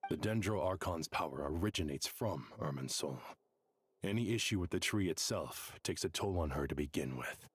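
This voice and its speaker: A man's voice: sits low, animated, normal speed, breathy edge, dry recording. A man voicing a solemn, composed scholarly advisor in a video game, with formal, precise speech. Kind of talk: video game voice acting, dramatic dialogue.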